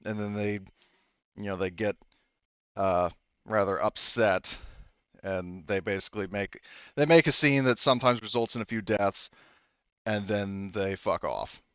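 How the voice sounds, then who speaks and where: A man runs a tabletop game in a low, smooth voice. He rambles casually, halting and correcting himself as he narrates. The recording is dry and a little dull. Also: fairly even intonation, fairly bright tone, dry recording. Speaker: game master.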